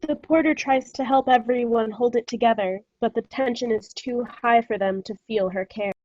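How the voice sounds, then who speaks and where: A woman's voice: fairly high pitch, steady tone, moderate pace, darkish tone, dry recording. A woman reading scene headings and stage directions as scripted narration, on a muffled video call.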